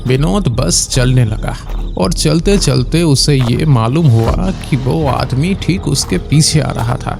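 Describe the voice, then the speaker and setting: A man narrating a scripted horror story in a measured, suspenseful way. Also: medium pitch, animated, gravelly. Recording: loud music behind the voice. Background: loud music.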